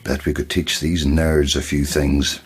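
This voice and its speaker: An actor in an audio drama playing a middle-aged, affectionate partner with a playful, bantering manner. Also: male voice, rumbling low, little pitch movement, unhurried, husky, heavily acted. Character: teasing, affectionate middle-aged husband type.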